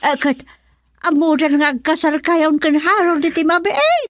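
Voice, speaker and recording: A woman playing a fretful, exasperated middle-aged mother in a radio drama, exclaiming and questioning in drawn-out interjections. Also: sits high, close to an everyday voice. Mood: fretful, urging. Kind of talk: melodramatic radio drama acting.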